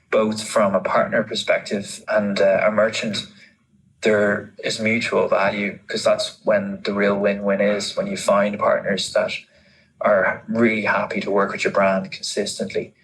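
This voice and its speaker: Low-voiced man explaining in an interview. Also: fairly bright tone, rough recording. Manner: halting, repeats words as he thinks aloud. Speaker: interviewee, marketing manager. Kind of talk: informal interview, explaining his field.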